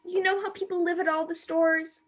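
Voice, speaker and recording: A woman's voice: very high-pitched, flat delivery, a little fast. A woman acting a timid, sorrowful young captive maid in a radio play, plaintive and naive, recorded over a video call. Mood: hushed horror.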